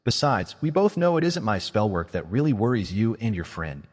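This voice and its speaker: A man's voice: fairly low pitch, sing-song, moderate pace, slightly rough, big-room sound. A man voicing a vain, playful witch woman who has been made young again, teasing and self-satisfied. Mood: smug.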